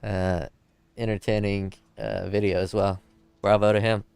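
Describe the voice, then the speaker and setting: A man with a low, smooth voice talks into a desk mic in front of his keyboard, streaming a game from home. He rambles in a relaxed, joking way and is a little drunk. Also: a little slow, no room sound, faint music behind. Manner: laid-back, rambling, joking. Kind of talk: casual livestream chat, reading chat aloud.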